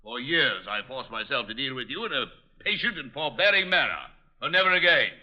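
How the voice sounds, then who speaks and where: An actor playing a pompous, irascible art collector with florid, imperious diction in an old-time radio detective drama. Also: male voice, medium pitch, sing-song, fairly quick. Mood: indignant. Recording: muffled old radio recording.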